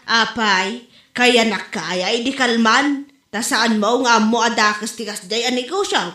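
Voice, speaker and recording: A woman's voice: on the high side, unhurried, sharp tone. A woman with an Ilocano accent playing a brash, judgmental, exclamatory gossip in a comedy drama. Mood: scornful. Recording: roomy, echoing room sound.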